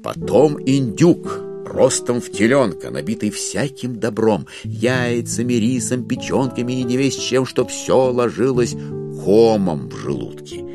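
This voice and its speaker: A man narrating a dramatized classic satirical novel as a wry storyteller, with expressive, deliberate phrasing. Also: mid-range voice, over music, lightly acted. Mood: wry, relishing.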